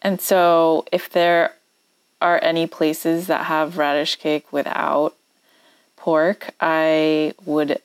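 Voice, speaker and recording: A woman's voice: deep voice, little pitch movement, takes it slowly, clear, resonant tone, no echo. A woman co-hosting a podcast, asking short friendly questions in a casual interview. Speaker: podcast co-host.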